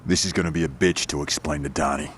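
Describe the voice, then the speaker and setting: A man's voice: a bass voice, fairly even intonation, quick pace, slight background noise. A man playing a hot-tempered gang leader in a video game, blunt and profane. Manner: blunt, profane, short-fused. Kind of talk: video game cutscene acting.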